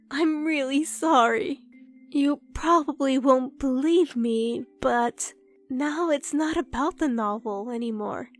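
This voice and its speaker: A woman playing a shy, earnest young novelist in love in an anime-style dub, speaking in a sweet, apologetic, emotive way. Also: sits high. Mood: remorseful, tender. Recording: music bed behind the voice.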